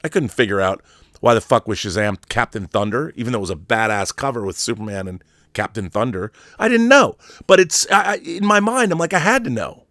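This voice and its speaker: A man's voice: medium pitch, big pitch swings, a little fast, fairly bright tone, no echo, clean studio sound. A middle-aged American man hosting an online show, delivering a casual, opinionated rant in an exasperated, emphatic, rambling way, often acting out imagined exchanges. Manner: rambling, acts out imagined dialogue.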